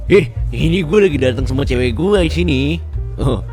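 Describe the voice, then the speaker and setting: A man's voice: fairly high pitch, animated, moderate pace, a little mellow, faint music behind. A man playing a brash, mocking young ex-boyfriend, talking in casual slang in a roleplay.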